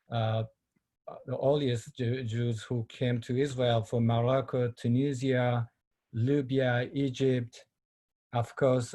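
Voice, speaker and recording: A middle-aged man from Ethiopia speaking halting with many fillers, giving a personal talk on a video call. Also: medium pitch, mellow. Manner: halting non-native English with many fillers. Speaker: filmmaker and panel speaker.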